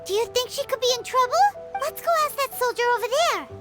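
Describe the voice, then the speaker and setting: Squeaky, very high childlike fairy companion. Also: female voice, fast talker. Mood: curious. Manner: perky, exclamatory. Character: tiny excitable childlike female fairy companion. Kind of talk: video game voice acting. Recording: music behind the voice.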